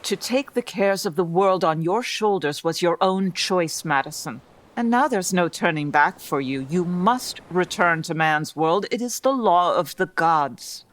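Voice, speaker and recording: A woman's voice: lowish voice, animated, unhurried. A woman playing a dignified, exasperated Amazon queen with a formal, stern manner in a comedy audio drama. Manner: formal, stern, long-suffering.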